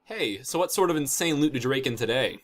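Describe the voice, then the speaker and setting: Casting: a man as a cool, dry, sarcastic teenage guy, slangy banter, very varied pitch, light music underneath. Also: expressive, fast talker, fairly bright tone. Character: cool, sarcastic, foul-mouthed teenage boy. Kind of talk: amateur comic fan dub dialogue.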